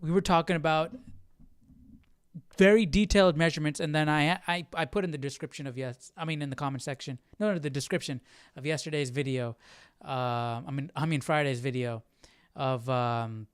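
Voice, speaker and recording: A man hosting a live Bible study in a casual, halting, conversational way, often checking in with listeners as he explains. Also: a little high, steady tone, clear, resonant tone, dry recording, studio quality. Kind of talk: casual livestream Bible teaching.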